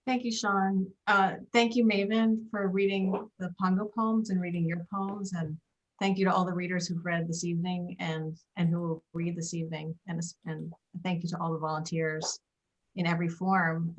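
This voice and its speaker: A woman giving an informal thank-you speech on a video call in a measured, reflective way. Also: lowish voice, flat delivery, highs cut off. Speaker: board member giving a speech. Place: indoors, remote video call.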